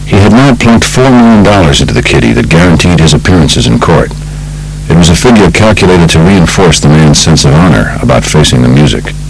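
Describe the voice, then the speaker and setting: Very low male voice, American accent, measured scripted narration, polished storytelling, faint hum and noise behind. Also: rough recording. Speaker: audiobook narrator. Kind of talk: scripted narration of true crime book.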